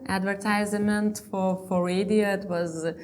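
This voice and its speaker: A woman with a Lithuanian accent hosting a casual interview in English, speaking haltingly as she searches for words. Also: medium pitch, level tone, darkish tone, smooth. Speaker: interview host.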